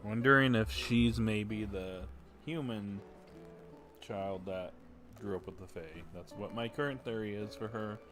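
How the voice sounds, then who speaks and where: A man livestreaming a game, giving casual, low-key commentary in brief musing asides. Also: a little slow. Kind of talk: casual commentary while playing. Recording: game music behind the voice.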